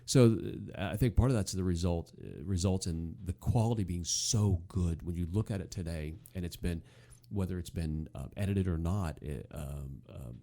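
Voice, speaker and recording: Male talk host, deep warm voice, relaxed casual commentary, halting delivery that often rephrases. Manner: halting, circles back and rephrases.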